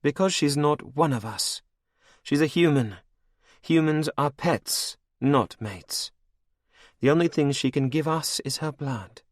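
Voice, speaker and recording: Man doing a regal vampire queen, cruel, sweetly mocking, high voice, dry sound. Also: unhurried, dry recording, lightly acted. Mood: mocking command.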